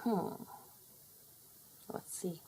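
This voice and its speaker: A woman's voice: extremely slow, dark tone. Woman reading a storybook aloud. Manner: inviting, asks listeners to guess. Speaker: storybook reader. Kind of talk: children's read-aloud narration.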